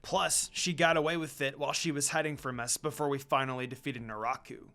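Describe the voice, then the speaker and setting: A man voicing a rude, quick-tempered young male half-demon who snaps and shouts. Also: mid-range voice, steady tone, voice pushed down. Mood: snarky. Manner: shouting, snarky.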